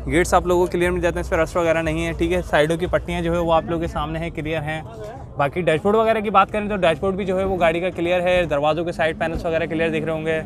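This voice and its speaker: A man's voice: a little high, a little hiss or hum. A man, hosting a car market vlog in a repetitive, explanatory patter. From Pakistan. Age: 20s. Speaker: host, car market reviewer. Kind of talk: vlog walkthrough and short interviews. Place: outdoor open car market. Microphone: phone at arm's length. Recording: busy outdoor street market chatter.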